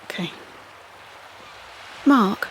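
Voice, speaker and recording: An actress playing a wounded, defiant young woman in an audio drama, with a husky voice and a curt, guarded manner. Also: extremely slow, fairly bright tone, a little hiss or hum, low-quality recording. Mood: awkward, guarded. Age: young adult. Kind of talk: audio drama monologue and dialogue.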